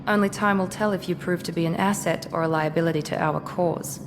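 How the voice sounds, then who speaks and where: Brief: actress as an aloof female soldier, curt, lower register, game audio with hiss. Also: steady tone, brisk, lightly acted. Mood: cool. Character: cool, guarded female Cerberus operative. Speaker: game character. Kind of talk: video game dialogue. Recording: game audio with long reverb and clear hiss.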